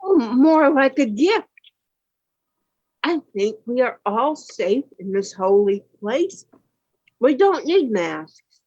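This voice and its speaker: A woman's voice: a little high, very animated, slow pace, long pauses, a little mellow, lo-fi sound, full character voice. A woman playing a present-day family member, speaking in an earnest, questioning way. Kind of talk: community radio play acting.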